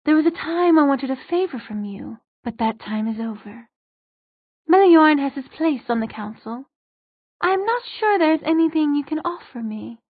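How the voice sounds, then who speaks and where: A haughty fairy queen of ageless cunning, as a woman narrator acts her out. Her voice is on the high side and close and dry. She speaks in formal, imperious phrases, as a storybook ruler who looks down on her listeners. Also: animated, moderate pace, no room sound, narrow, tinny audio. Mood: cold, haughty.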